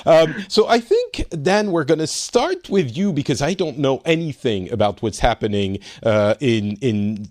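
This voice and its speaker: A man with a French accent hosts a casual online talk show, his pitch swinging up and down as he chats. He often restarts sentences and laughs easily. The sound is close and dry, with no room around him. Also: sing-song, a little slow, few pauses, darkish tone, no echo. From France. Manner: chatty, self-correcting, laughs easily. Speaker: podcast host. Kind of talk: casual podcast chat. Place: home in Finland.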